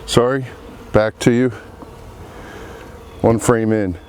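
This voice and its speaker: A man with a cold and a froggy, congested voice, talking slowly through a beehive inspection outdoors among buzzing bees. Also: fairly low pitch, steady tone, a little mellow, slight background noise. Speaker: beekeeper. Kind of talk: casual hive inspection commentary. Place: outdoor apiary.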